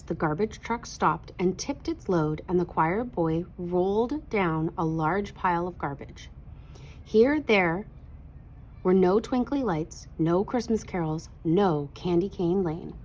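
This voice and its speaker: A woman narrating a children's picture book aloud in an expressive read-aloud style. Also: on the low side, slight background noise.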